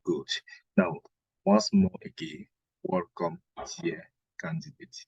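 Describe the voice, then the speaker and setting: A man's voice: on the high side, huge pitch swings, takes it slowly, vocal fry, a little mellow, husky, no echo, muffled recording. A man with a Cameroonian accent teaching an exam revision lesson, didactic and repeating phrases for emphasis. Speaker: history teacher.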